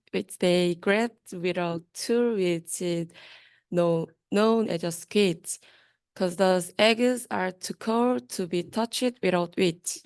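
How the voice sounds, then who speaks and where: A woman's voice: lowish voice, a little mellow, clean tone. A young woman reading a rhyming children's storybook aloud. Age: young adult. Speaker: student reader.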